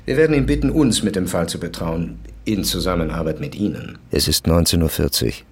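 An actor playing a terse, sober police chief of a drug squad in a German crime radio drama. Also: male voice, deep voice, brisk, slightly rough. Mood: sober, determined. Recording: music behind the voice.

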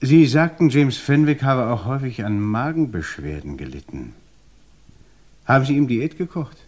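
An actor playing an investigator who questions a widow in a measured, insistent way. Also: male voice, mid-range voice, sing-song, a little mellow. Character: calm, probing male investigator interrogating a widow. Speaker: interrogator. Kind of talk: radio crime play.

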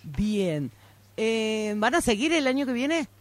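Lively, swooping Argentine woman interviewer. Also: fairly high pitch, takes it slowly. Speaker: radio interviewer. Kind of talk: casual interview. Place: radio studio.

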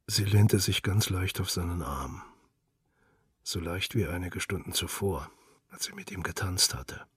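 A man reading literary narration for a radio drama in a hushed, intimate, gravelly and breathy voice. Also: low-pitched, a little slow, dry recording, clean studio sound, far from the mic.

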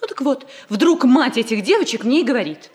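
A woman's voice: high voice, expressive, brisk, a little mellow. An actress playing a hot-tempered, easily upset young student woman in a 1960s radio comedy, speaking with emphatic indignation. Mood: indignant.

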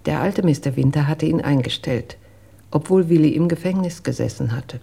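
An actress playing a reserved, dutiful household employee who recounts events in a measured, careful way in a radio drama, with a husky voice. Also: female voice, sits low, dark tone, full character voice. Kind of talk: German radio drama acting.

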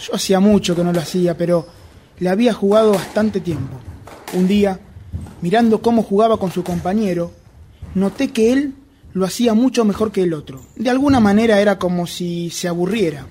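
A man with an Argentine accent acting a brooding, obsessively jealous narrator in a radio drama, speaking deliberately and introspectively. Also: high voice, mellow, slight background noise, natural voice. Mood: brooding, confessional. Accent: Rioplatense Argentine Spanish. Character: obsessive jealous man confessing his secret pursuit of a rival.

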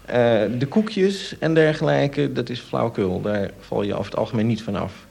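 A man in an informal radio discussion, answering questions as an expert. He explains step by step in a hesitant way, often searching for the right word, at a middling pitch. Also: big pitch swings, moderate pace, creaky, a crowd in the background.

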